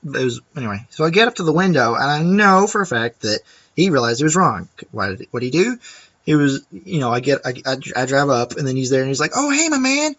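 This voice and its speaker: A man's voice: mid-range voice, expressive, a little fast, fairly bright tone, dry recording, lo-fi sound. A man vlogger ranting casually to camera, chatty and rambling with restarts.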